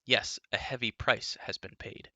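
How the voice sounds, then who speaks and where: A man voicing an earnest, hot-tempered young man who speaks up loudly and accusingly. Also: fairly low pitch, steady tone, unhurried, dry recording. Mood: indignant. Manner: loud, accusing, indignant.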